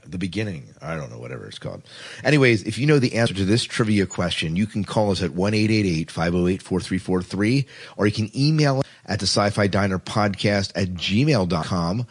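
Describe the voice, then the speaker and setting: A man's voice: low voice, expressive, moderate pace, slightly rough. A man hosting a podcast in a casual, informal, rambling way. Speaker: podcast host.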